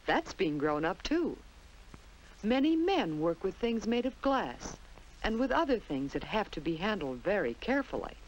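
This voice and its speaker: A woman's voice: medium pitch, very animated, moderate pace, slight background noise, rough recording. An American woman narrating a 1950s children's instructional film in a simple storytelling manner, on an old film soundtrack. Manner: simple, storytelling delivery addressed to young children.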